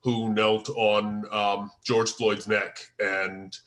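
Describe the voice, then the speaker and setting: A man hosting an informal group discussion, explaining things in an earnest, deliberate way. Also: fairly low pitch, level tone, a bit bright. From United States. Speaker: host and co-writer of a script table read.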